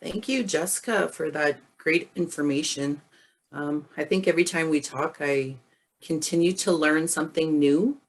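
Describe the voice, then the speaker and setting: A woman from the James Bay area of northern Canada giving a webinar talk over a video call, hoarse from laryngitis, speaking carefully with many pauses. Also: low-pitched. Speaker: guest presenter. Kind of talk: webinar presentation.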